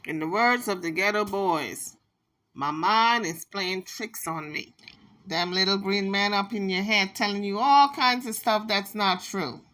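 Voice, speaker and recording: Bright-voiced scolding woman commentator. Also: medium pitch, unhurried. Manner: emphatic, scolding, reads messages aloud. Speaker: commentary channel host. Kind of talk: casual gossip commentary.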